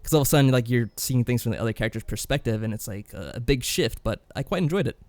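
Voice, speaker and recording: Fast-talking man on a podcast. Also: medium pitch, lively intonation, a little mellow, dry recording. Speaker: podcast co-host. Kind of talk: casual discussion and review.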